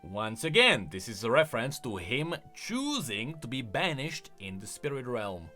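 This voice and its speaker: Animated, bright-voiced man giving commentary. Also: medium pitch, normal speed. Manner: animated, opinionated explainer. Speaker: gaming lore YouTuber. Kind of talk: casual commentary on game voice lines. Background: faint music.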